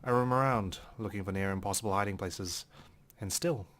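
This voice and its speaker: A man hosting a let's play, reading a visual novel aloud in a measured, theatrical way. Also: fairly low pitch, a bit bright. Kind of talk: dramatic read-aloud narration of a visual novel with commentary.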